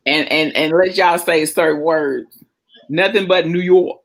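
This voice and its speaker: A woman with a Southern US accent hosting a casual live chat, animated and teasing. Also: deep voice, measured pace. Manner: animated, teasing, calls everyone "girl". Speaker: host of a live chat show.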